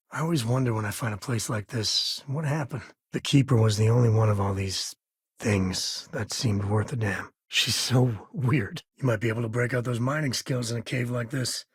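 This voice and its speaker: A laid back ex ranger in a space game, really husky rough voice, wry n folksy, super dry close recording. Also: male voice, medium pitch, moderate pace, dry recording. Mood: tender, reflective, then alert, steady. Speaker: video game companion character. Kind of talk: video game voice acting, casual dialogue.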